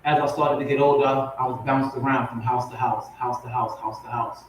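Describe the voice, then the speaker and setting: A man's voice: medium pitch, steady tone, a little fast. A man's voice comes through very echoey and set back from the mic. He speaks as a spoken word poet giving informal personal testimony, repeating phrases for emphasis, with some noise on the recording. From raised in Compton, California and Portmore, Jamaica. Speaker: spoken word poet, guest on a talk show. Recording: very echoey, distant, noisy audio.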